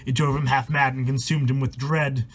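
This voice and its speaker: A man's voice: mid-range voice, flat delivery, normal speed. A man reading a rhyming horror story aloud in a dramatic, irreverent way, stumbling over words and swearing in casual asides. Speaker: amateur storyteller and host.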